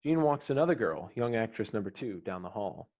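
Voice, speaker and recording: A man's voice: fairly low pitch, big pitch swings, a little fast, a little mellow, highs cut off. A man reading screenplay stage directions as a narrator, terse and declarative.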